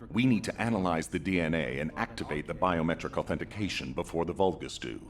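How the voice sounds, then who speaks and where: An actor playing an authoritative, businesslike commander in a video game, giving decisive, commanding delivery. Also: male voice, low voice, close to an everyday voice. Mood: urgent, commanding. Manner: commanding, brisk.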